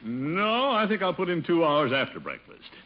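An actor playing a pompous middle-aged uncle in a blustery, fruity voice, in 1950s radio comedy style. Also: male voice, on the high side, sing-song. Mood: self-important. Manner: blustery, drawn-out hems and haws. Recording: dull vintage radio recording with noise.

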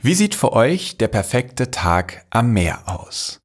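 A man's voice: medium pitch, big pitch swings, slow pace, very noisy. A man hosting a podcast, introducing his guests and asking friendly, enthusiastic questions. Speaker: podcast host. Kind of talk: podcast interview.

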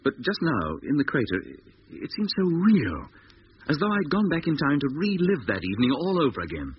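An actor playing an earnest, reflective astronaut captain who searches for words in a 1950s radio drama. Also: male voice, a little high, huge pitch swings, a little fast. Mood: wondering, earnest. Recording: dull, hissy 1950s radio recording.

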